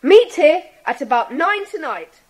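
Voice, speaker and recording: Earnest, very high-voiced schoolboy. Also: female voice, very animated, unhurried. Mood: earnest, planning. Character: Jack, earnest schoolboy in a secret club. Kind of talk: children's audiobook dramatisation.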